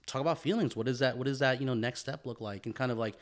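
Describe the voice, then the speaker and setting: A man's voice: medium pitch, quick pace. Casual, profane male podcast co-host. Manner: informal, profane, repeats phrases, peppers talk with "man" and "bro". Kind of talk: casual, reflective conversation.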